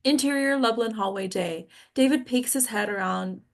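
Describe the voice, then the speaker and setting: A woman's voice: a little high, normal speed. A woman narrating stage directions at a table read in a plain, informal way.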